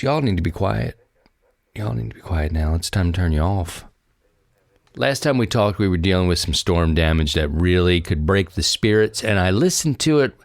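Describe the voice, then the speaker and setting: A man's voice: low-pitched, dry recording. A man with an American accent hosting a podcast, chatting casually and rambling in a folksy way to his listeners. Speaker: podcast host. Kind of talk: casual solo chat to listeners.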